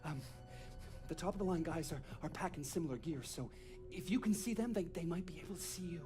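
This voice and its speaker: An actor playing an eager, nervous tech geek in a video game, chatty and stammering. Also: male voice, on the high side, a little fast, music in the background, natural voice. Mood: proud, nervous. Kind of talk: video game cutscene acting.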